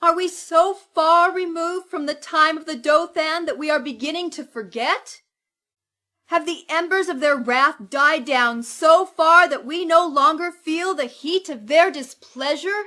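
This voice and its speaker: Stern female cleric, played by an actress, high bright voice, slow solemn declamation for dystopian audio drama. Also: full character voice. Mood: fervent, foreboding.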